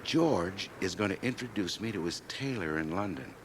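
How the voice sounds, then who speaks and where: Brash rising businessman: hearty, self-satisfied, lively swooping intonation, old radio drama with music. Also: low-pitched, normal speed, background noise. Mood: smug, enthusiastic. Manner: boastful, hearty, opinionated. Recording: 1980 radio drama cassette transfer.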